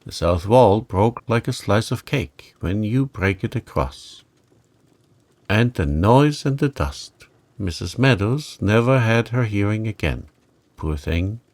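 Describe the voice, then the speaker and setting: A genteel middle-aged aunt from a storybook, acted by a male narrator, recounts her tale with dramatic emphasis. She talks very slowly, in a slightly gravelly voice. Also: lowish voice. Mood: dramatic, pitying. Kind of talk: storybook character reading.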